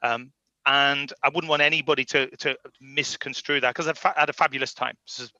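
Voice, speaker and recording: A man's voice: medium pitch, fairly even intonation, brisk, sharp tone, dry recording, dull audio. A man from Liverpool speaking informally as a guest, telling his own story in a chatty, self-deprecating way. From Liverpool, England. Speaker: guest speaker, industry professional. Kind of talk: informal personal career story.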